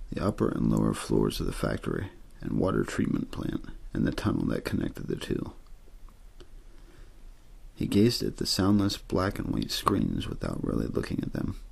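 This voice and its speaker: A man reading a story aloud in ASMR style, soft-spoken and measured, with frequent pauses and a breathy, gravelly voice. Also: extremely low pitch, very animated. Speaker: audiobook-style reader for an ASMR channel.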